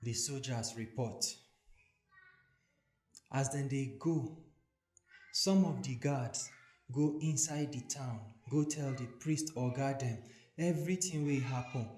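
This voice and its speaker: Slow-paced Nigerian man narrating, echoey. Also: mid-range voice, a little mellow. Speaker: scripture narrator. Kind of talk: dramatic scripted narration of scripture in Nigerian Pidgin. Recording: echoey voice recording with music. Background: soothing background music.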